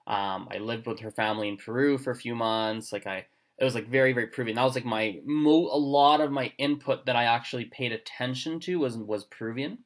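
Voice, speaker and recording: A man hosting a casual chat, talking in quick bursts full of fillers and restarts. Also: medium pitch. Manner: chatty, lots of fillers and restarts. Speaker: podcast host. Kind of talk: casual conversation.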